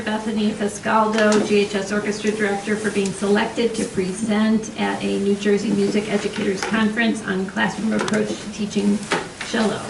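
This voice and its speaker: Squeaky-voiced woman, flat delivery. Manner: brief, clears throat and coughs often. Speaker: school superintendent. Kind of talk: board meeting report. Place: meeting room. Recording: echoey room from far off, noisy.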